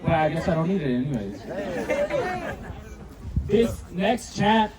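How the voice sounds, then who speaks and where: A man's voice: fairly high pitch, sing-song, measured pace, over a noisy crowd. A man hosting an outdoor protest rally, leading chants and introducing speakers. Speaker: rally emcee.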